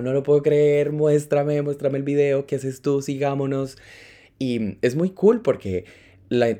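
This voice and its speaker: A man hosting his own podcast, speaking in a very low, mellow voice. He tells his story in an animated, chatty way and slips in the odd English phrase. His first language is Spanish. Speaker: podcast host. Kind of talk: casual solo podcast monologue.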